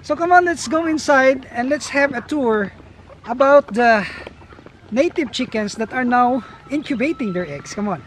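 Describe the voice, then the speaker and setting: A man's voice: very high voice, animated, normal speed, fairly bright tone, a little hiss or hum. A middle-aged man, a farm vlogger, giving an upbeat casual tour of his outdoor farmyard and coop while explaining to viewers.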